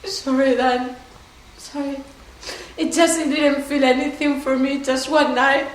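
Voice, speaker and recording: A woman's voice: high voice, flat delivery, unhurried. A woman playing a pleading, guilt-stricken lover. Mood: apologetic. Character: young woman confessing a pregnancy by another man, pleading for forgiveness. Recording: echoey room, distant mic, noisy.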